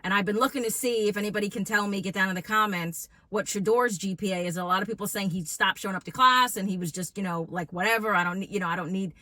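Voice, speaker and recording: A woman's voice: mid-range voice, fast talker, few pauses, pushed and bright, no echo, poor audio. A woman giving casual, opinionated sports commentary in an informal, emphatic way, often putting rhetorical questions to her listeners.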